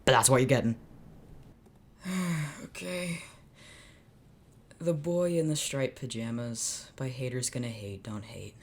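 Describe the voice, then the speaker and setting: A woman's voice: a bass voice, measured pace, pauses often. A woman hosting her channel, reading fanfiction aloud unscripted and reacting as she goes, in a sardonic, dramatic way.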